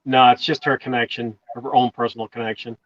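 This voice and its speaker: A man's voice: medium pitch, flat delivery, brisk, no echo. Easygoing man on a dull video call. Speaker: guest on a live video chat. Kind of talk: casual chat.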